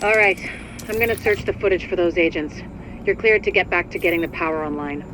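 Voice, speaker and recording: An actress playing a commanding mission coordinator in a video game, businesslike and urgent. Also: female voice, on the low side, fairly quick, background noise, lightly acted. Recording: music behind the voice.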